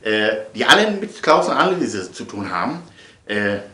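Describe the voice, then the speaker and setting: A middle-aged man talks casually to his viewers in a bright, forceful voice. He rambles, repeats phrases and stresses them theatrically. The room is clearly echoey. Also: fairly low pitch, sing-song, normal speed. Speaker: vlogger and audio play producer.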